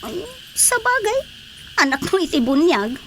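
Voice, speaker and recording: High-pitched teasing village woman. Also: sing-song, unhurried, a bit bright, slight background noise, heavily acted. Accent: Ilocano. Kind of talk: radio drama comedy acting.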